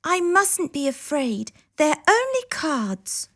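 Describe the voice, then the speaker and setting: Anxious, curious young storybook girl: childlike, very high, lively pitch, careful and polite. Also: slow pace, heavily acted. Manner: childlike, careful, simple phrasing.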